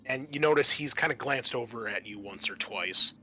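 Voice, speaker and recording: A man narrating a tabletop roleplaying game as game master, pausing often mid-sentence. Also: medium pitch, pushed and bright, a little hiss or hum.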